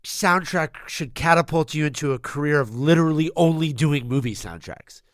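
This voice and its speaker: A man's voice: fairly high pitch, expressive, moderate pace, a bit bright, no room sound, clean studio sound. A man hosting a casual podcast, laid-back, trailing off and answering with lots of yeahs. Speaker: podcast host.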